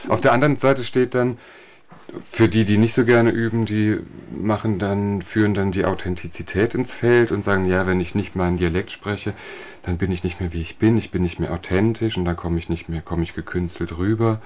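A man with a fairly low voice speaks as a guest in a radio interview. He explains speech practice in a measured, teacherly manner and reaches for everyday examples. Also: steady tone, darkish tone. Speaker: speech trainer at a university.

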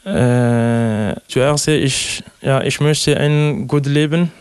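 Young man from Togo, slow and level, halting with fillers, very clear dry close voice. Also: medium pitch, a little mellow, dry recording. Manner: hesitant, many "äh" fillers, simple sentences. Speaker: interviewee, refugee. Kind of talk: halting interview answers in learner German.

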